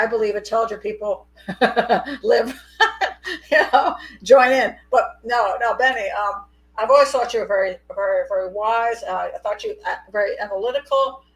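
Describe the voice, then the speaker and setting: A middle-aged woman hosting a live call-in show, chatty and quick to laugh. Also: mid-range voice, low-quality recording. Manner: chatty, laughs often, animated. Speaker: host. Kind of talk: casual live call-in chat.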